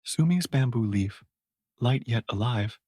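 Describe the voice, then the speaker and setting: A man narrating sleep meditation stories in a gentle, calming, measured way, like a guide at bedtime. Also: mid-range voice, husky, no room sound, studio quality.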